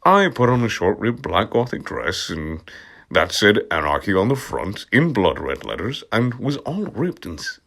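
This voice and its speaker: A man's voice: fairly low pitch, very animated, moderate pace, vocal fry, fairly bright tone. A man giving a comedic, dramatic read-aloud of fan fiction with amused asides. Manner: amused, breaks into laughter and mocking asides. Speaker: amateur reader of fan fiction.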